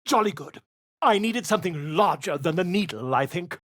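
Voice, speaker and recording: A man's voice: high-pitched, animated, normal speed, fairly bright tone, no echo, studio quality. An actor playing an eccentric, pompous male bard and scholar in a video game, speaking in flowery, declamatory phrases.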